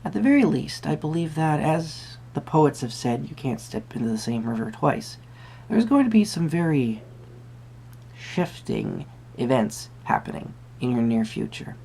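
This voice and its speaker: A performer playing a whimsical magical tarot reader, musing hesitantly in a soft-spoken roleplay with a creaky voice. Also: medium pitch, big pitch swings, heavily acted. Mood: musing, gentle. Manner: hesitant, musing, pausing with hums.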